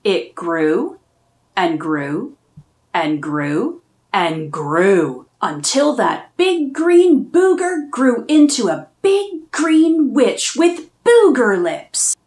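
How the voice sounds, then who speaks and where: A woman reads a children's book aloud at an unhurried pace. Her pitch rises and falls a great deal, so every line sounds animated. Her delivery is steady, clear storytelling. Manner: slow, expressive storytelling.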